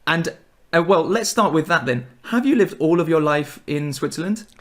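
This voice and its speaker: A man hosting a podcast interview, asking friendly, encouraging questions in a relaxed chat. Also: fairly high pitch, normal speed.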